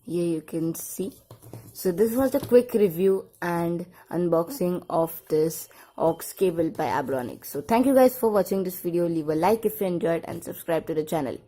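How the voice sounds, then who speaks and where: A woman's voice: lowish voice, animated, moderate pace, dark tone. A woman casually presenting a product unboxing, speaking in short, simple, repetitive phrases as she demonstrates. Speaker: product review vlogger.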